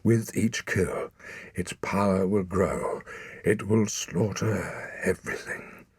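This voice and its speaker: A man voicing a dying father whispering hoarsely. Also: low-pitched, no echo, exaggerated voice. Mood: grave. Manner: faint breathy whisper, halting. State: out of breath. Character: dying father, grave weakened hunter.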